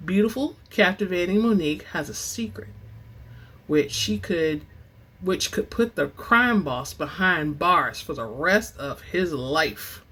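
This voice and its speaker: A woman hosting and reading book excerpts in a dramatic, expressive storytelling style, with informal asides. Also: fairly low pitch, measured pace, breathy edge. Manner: theatrical, expressive; informal, says y'all. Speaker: host and reader of book excerpts.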